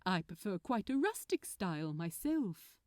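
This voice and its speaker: Storybook role: polite, cheerful, slightly fussy gentleman, genteel and very animated in pitch; woman performing. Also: unhurried, no room sound, studio quality. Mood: pleasant, chatty. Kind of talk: fan fiction audiobook dialogue.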